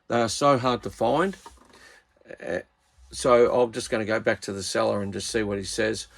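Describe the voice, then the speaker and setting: A man's voice: medium pitch, vocal fry. A middle-aged man with an Australian accent, talking casually and bluntly at his workbench about radios he bought. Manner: blunt, exasperated, plain-spoken. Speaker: radio hobbyist channel host.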